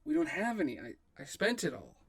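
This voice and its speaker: A man's voice: on the high side, sing-song, a little fast, no echo, recorded from a distance. A man acting a drunken, submissive father in an audio drama, stammering and pleading. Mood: panicked pleading. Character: cowed drunken father and tavern keeper, pleading.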